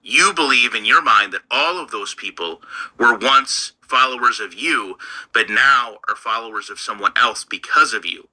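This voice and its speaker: Male commentary host, blunt and lecturing, very bright, sharp tone. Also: medium pitch, normal speed, rough recording. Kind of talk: scripted commentary.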